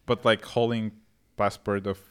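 Man, low smooth voice. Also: flat delivery, a little slow, fairly bright tone. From Russia. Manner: questioning, repeats words. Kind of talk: casual chat.

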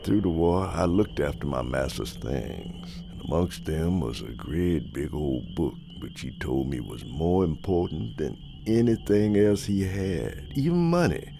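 Male radio drama narrator role: old ex-servant, very low, slow, grave Southern accent, music behind. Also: animated, creaky, noisy background, full character voice. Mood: solemn. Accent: American South. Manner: grave, folksy storytelling with dramatic emphasis. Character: haunted old former servant recounting a horror. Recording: music loud under the voice.

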